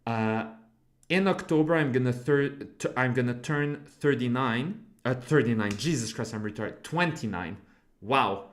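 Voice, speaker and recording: A man's voice: medium pitch, measured pace, echoey room. A man in his twenties chatting casually on a live stream, rambling with lots of fillers and swearing as he answers his chat. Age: 20s. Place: home room.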